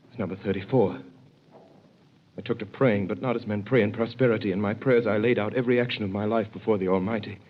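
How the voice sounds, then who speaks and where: A man narrating an old radio drama in the first person, grave and measured. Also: fairly low pitch. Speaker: narrator playing the hero. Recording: dull old radio recording with faint hiss.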